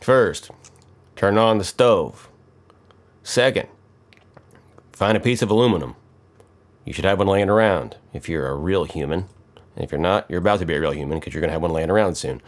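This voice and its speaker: Low-voiced American man, wry and casual. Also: normal speed, pauses often. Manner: wry, joking, self-mocking. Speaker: electronics hobbyist, video host. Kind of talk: casual off-the-cuff how-to commentary.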